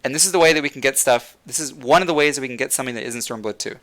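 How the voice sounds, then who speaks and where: Young male streamer, bright tense voice, fired-up and repetitive delivery, voice going hoarse. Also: medium pitch, racing. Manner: passionate, repeats words while thinking. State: losing his voice. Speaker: livestream host. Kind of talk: casual gaming rant to chat.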